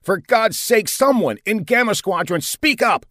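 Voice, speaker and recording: Man as a forceful starship captain barking orders. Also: fairly high pitch, fairly quick, dry recording, studio quality, pitched above the performer's own voice, lightly acted. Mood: desperate anger. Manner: forceful, curt, authoritative. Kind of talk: science fiction audiobook character.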